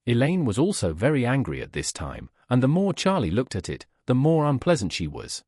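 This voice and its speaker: A man reading a story aloud as scripted narration, with a husky voice. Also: medium pitch, wildly swooping pitch, a little fast, a little mellow, no room sound, clean studio sound. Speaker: audiobook narrator.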